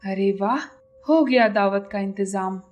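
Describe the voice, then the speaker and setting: A woman's voice: medium pitch, unhurried, darkish tone, soft background music, voice pushed down, lightly acted. A woman voicing a menacing, hungry male monster who eats birds in a cartoon, gloating and grumbling.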